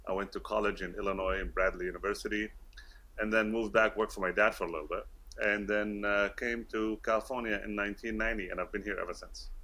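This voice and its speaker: A man's voice: lowish voice, steady tone, fast, sharp tone. A man in his fifties, raised in Kuwait, with British-schooled English, chatting as an interview guest in a friendly, laughing way with many fillers. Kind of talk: casual interview talk.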